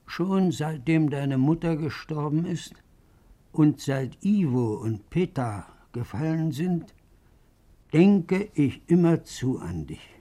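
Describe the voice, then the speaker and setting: A lonely old father in a vintage radio drama. He speaks very slowly and tenderly in a soft, dark voice, halting as if writing each phrase of a letter. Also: on the high side, full character voice. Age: older. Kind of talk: 1950s German radio play acting.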